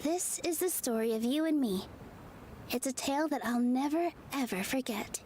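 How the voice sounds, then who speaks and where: An actress playing a gentle, cheerful young woman dancer in a fantasy video game, speaking warmly and politely. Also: high voice, normal speed, a little hiss or hum, natural voice. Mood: tender. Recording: game audio under prominent music.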